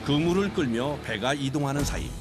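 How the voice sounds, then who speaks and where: A man's voice: a little high, expressive, a little fast. A man reading scripted documentary narration in Korean, with music playing behind him. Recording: loud music bed behind voice.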